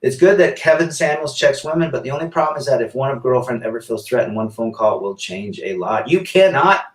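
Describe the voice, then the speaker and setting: Echoey livestream host reading chat. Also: male voice, mid-range voice, animated, brisk, distant mic. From south-central Pennsylvania, US. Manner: reads viewer comments aloud and answers them. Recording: echoey room.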